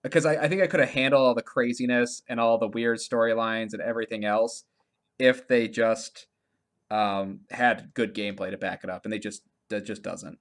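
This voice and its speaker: A man's voice: on the low side, fairly even intonation, normal speed. A man co-hosting a podcast, chatting casually about video games in a rambling way and often laughing as he talks. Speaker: podcast co-host.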